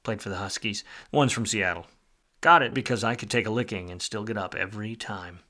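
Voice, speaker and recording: An actor playing a world-weary, wisecracking private detective in a film noir radio play, wry and slangy. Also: male voice, fairly low pitch, brisk, sharp tone. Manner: hard-boiled, wry, slangy.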